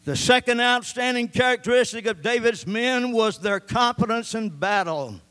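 Older pastor preaching into a handheld mic, really high smooth voice, church stage. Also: male voice, normal speed. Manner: preaching with Bible readings, animated gestures. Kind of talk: sermon. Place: church stage with band gear, indoors.

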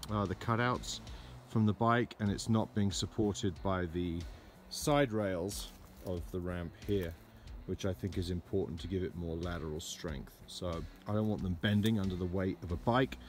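Relaxed man explaining a DIY project. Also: low-pitched, normal speed, few pauses, a little hiss or hum. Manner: relaxed, lots of "uh" and "um". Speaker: DIY vlogger. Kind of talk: casual how-to narration. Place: outdoors in a yard.

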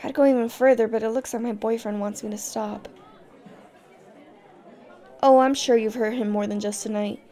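A woman's voice: fairly high pitch, steady tone, normal speed, pauses often, mellow, some chatter behind, lightly acted. A woman playing a tough, fiercely protective young girlfriend in an audio roleplay, speaking directly to the listener. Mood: defiant, confrontational.

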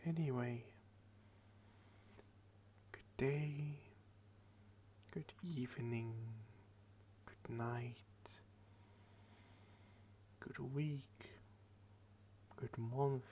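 A very tired young man rambles in a soft, drowsy half-whisper, drawing his words out at a sluggish crawl. He speaks close to a headset microphone at his computer desk at home. A faint hiss sits under his voice, and his pitch stays in an ordinary middle range. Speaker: channel host. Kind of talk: late-night ASMR rambling.

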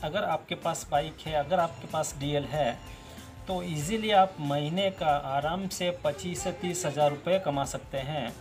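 Man in his thirties, slightly high voice, animated, repeats himself, outdoor phone recording, faint music behind. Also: unhurried. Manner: animated, gestures with both hands, repeats key points. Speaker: job channel host. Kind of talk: direct-to-camera job advice. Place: outdoors by a plain wall.

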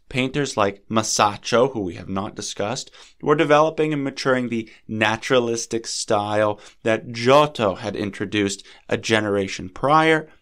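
A man with a lowish voice delivers a scripted art history lecture as a podcast host. He speaks in a measured, lecturing way. His voice is a little scratchy and sniffly from a cold. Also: a bit bright, clean tone.

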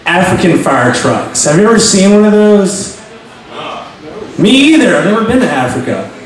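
Halting young man at an open mic. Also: high voice, sing-song. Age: young adult. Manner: halting, filler-heavy, awkward pauses. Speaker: stand-up comic. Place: small bar stage. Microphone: handheld mic. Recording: echoey bar from far off, crowd. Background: bar crowd.

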